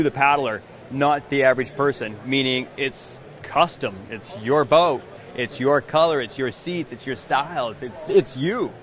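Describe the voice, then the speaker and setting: A man in his forties talks eagerly about the boats his company builds. He speaks into a handheld mic held out by an interviewer at an indoor trade show booth. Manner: enthusiastic sales pitch. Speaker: canoe maker.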